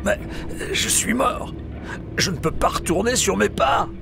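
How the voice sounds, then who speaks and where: A man playing a sly, grumbling old village scoundrel in an audio drama, creaky, hesitant and wheedling. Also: sits high, expressive, measured pace, music in the background, exaggerated voice. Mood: indignant, pleading. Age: older. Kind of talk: French audio fiction acting.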